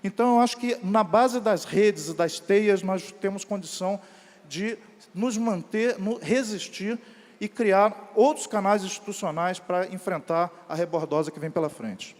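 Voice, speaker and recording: A man speaks on a seminar panel with a high voice, earnest and emphatic. The hall gives his voice a long, trailing reverb. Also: a little slow. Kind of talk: seminar talk.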